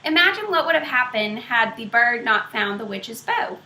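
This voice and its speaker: A woman reading a picture book aloud to children in an expressive way, stopping to ask them questions. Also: a little high. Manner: expressive, pausing to quiz young listeners. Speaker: storybook reader. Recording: roomy echo.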